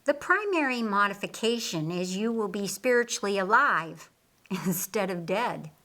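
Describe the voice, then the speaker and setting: Woman reading as Jesus, a patient, measured teacher. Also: medium pitch, animated, pushed and bright. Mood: patient teaching. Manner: measured, explanatory.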